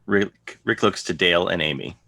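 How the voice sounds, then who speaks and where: A man's voice: deep voice, normal speed, gravelly, bright, forceful tone, no room sound. A man narrating stage directions for an online table read of a comic, in a scripted style. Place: video call. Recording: online video call.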